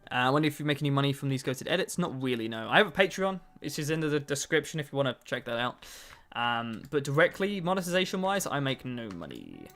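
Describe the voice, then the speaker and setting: A man's voice: medium pitch, big pitch swings, fast, few pauses, a bit bright, faint music behind. A man in his twenties chatting casually on a livestream, answering chat and joking at his own expense. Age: 20s. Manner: chatty, self-mocking, answers chat between tasks. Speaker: streamer.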